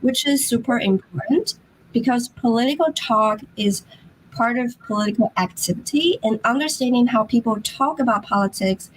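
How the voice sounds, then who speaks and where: A woman presenting her research remotely at a conference, speaking slowly and haltingly with a hoarse voice. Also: a little high, a little hiss or hum, poor audio. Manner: slow, halting, apologetic. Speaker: academic presenter.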